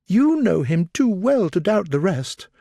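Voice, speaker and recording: A man reading aloud, voicing a distraught young gentlewoman with an urgent, pleading, high and breaking voice. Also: sing-song, measured pace, no echo, clean studio sound, full character voice. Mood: anguished.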